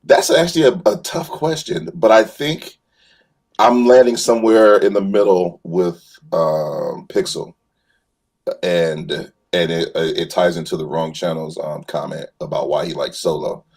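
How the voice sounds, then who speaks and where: A man with a fairly low, husky voice talks on a fan panel. He is emphatic and colloquial, circling around his points in a loose, passionate conversational style. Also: unhurried, darkish tone. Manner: emphatic, rambling, colloquial phrasing. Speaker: fan panelist on a live show. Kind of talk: casual passionate fan discussion.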